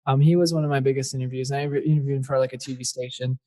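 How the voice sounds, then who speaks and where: A man's voice: medium pitch, steady tone, very fast, warm tone. A young man, a singer-songwriter guest, chatting casually in a podcast interview and rambling with fillers. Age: young adult.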